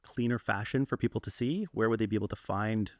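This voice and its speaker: A man's voice: fairly low pitch, quick pace, no echo, clean studio sound. A man hosting a local interview, asking casual questions in an easygoing manner. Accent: Canadian English. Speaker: interviewer, channel host.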